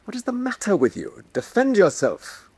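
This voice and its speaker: Pompous male inquisitor, animated pitch. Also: moderate pace, vocal fry, husky, no room sound, exaggerated voice. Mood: irritated. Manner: pompous, haughty, rhetorical. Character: contemptuous, moralising male state inquisitor of Venice. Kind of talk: radio drama acting.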